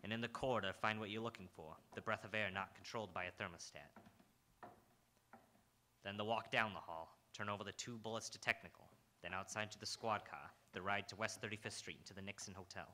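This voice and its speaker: Man acting a low, hard-boiled radio detective. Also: fairly even intonation, fairly quick, bright, forceful tone, a little hiss or hum. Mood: brooding, terse. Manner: terse, brooding, poetic phrasing. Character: hard-boiled, world-weary New York police detective narrating his case. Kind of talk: old-time radio noir acting, second-person narration.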